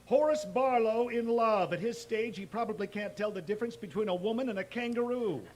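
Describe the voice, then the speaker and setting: An actor playing a pompous, sarcastic, exasperated school principal in an old-time radio comedy. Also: male voice, high voice, fairly quick, darkish tone, close to an everyday voice. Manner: sarcastic, blustering.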